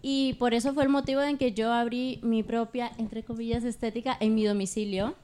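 A woman's voice: fairly high pitch, fairly even intonation, a little fast, warm tone. A Peruvian woman in her thirties with a Peruvian Spanish accent, a manicurist guest talking earnestly and gratefully on a radio chat show. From Peru. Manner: earnest, grateful, laughs easily.

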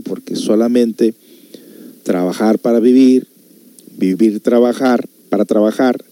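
Dark, mellow-voiced man musing. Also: lowish voice, no room sound. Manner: musing, conversational, with rhetorical questions. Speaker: host of a music and reflection show. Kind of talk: casual monologue to listeners.

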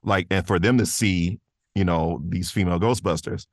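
A man's voice: low voice, normal speed, no room sound, clean studio sound. A man chatting casually as an interview guest, rambling and enthusiastic, often saying "man" and "you know". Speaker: podcast guest, voice actor.

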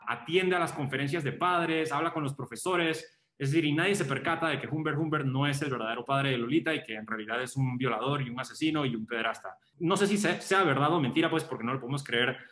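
A man's voice: fairly high pitch, reverberant. A man discussing a novel in casual conversation, reading quotations aloud now and then. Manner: animated, reads quotations aloud. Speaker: book discussion host.

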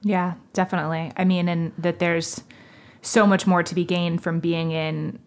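A woman with a fairly low voice and pronounced vocal fry chats as a podcast interviewer. Her style is casual and affirming, with "like" scattered through her sentences. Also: little pitch movement, normal speed. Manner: chatty, affirming, heavy use of "like".

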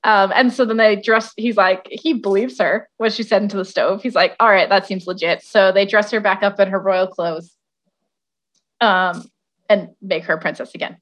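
A woman's voice: medium pitch, steady tone, normal speed, a bit bright. A woman hosting a podcast, telling a fairy tale in an informal, wry, giggly way and sometimes reading passages aloud. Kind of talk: casual storytelling with read-aloud passages.

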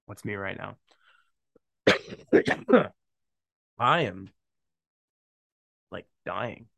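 Gravelly young man with a stuffy nose. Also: on the low side, big pitch swings, extremely slow, sharp tone, dry recording. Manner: rambling, laughs a lot, slangy. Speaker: podcast host. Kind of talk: casual solo chat.

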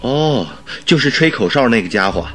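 An actor playing an earnest, inquisitive doctor who is a detective's companion, in a radio drama. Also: male voice, medium pitch, animated, normal speed, fairly bright tone, soft background music, lightly acted. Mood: puzzled, curious.

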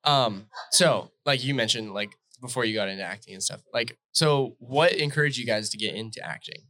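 Easygoing guy hosting a chat, bright voice, lots of likes. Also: medium pitch, normal speed, dry recording. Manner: relaxed, filler-heavy questions. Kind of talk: casual interview chat.

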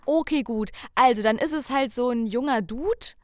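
A woman's voice: a little high, normal speed, dry recording, clean studio sound. A woman co-hosting a casual podcast, talking playfully and theatrically and addressing listeners directly. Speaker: podcast co-host.

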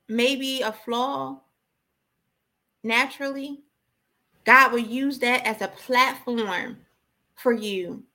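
A woman's voice: on the high side, bright, forceful tone. A woman giving an impassioned, informal sermon as a preacher, exhorting emphatically and repeating key phrases.

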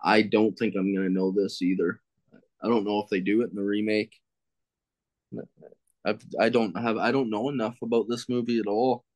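A man with a fairly low voice chats casually as a podcast guest. He fumbles and hesitates, then swears at himself with self-deprecating humor when he blanks. The recording is dry and close. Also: level tone, moderate pace, darkish tone, dry recording. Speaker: podcast guest, film critic. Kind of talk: casual chat.

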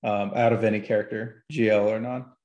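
A man with a fairly low voice speaks as a guest data analyst on a gaming show. He explains technical details casually, halting and regrouping as he thinks. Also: flat delivery, a little mellow, echoey room, highs cut off.

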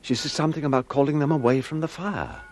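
A man's voice: a little high, quick pace, a little mellow, natural voice. A man playing a courteous, thoughtful investigator with dry humour in a radio drama, speaking politely and a little properly. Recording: noisy radio drama recording.

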